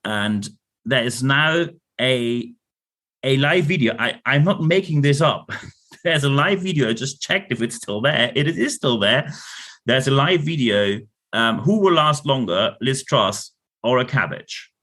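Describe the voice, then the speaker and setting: A man with a German accent giving a lecture-style talk on leadership, emphatic and animated, with jokey asides and repeated words. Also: mid-range voice, a little slow. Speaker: leadership consultant host.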